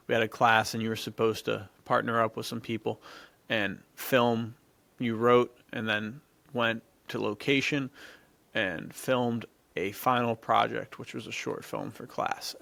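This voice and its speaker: Young male co-host, mid-pitched with vocal fry, enthusiastic slangy storyteller. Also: little pitch movement, a little slow, fairly bright tone, dry recording. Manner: slangy, enthusiastic, says "dude" often. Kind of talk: casual chat, storytelling.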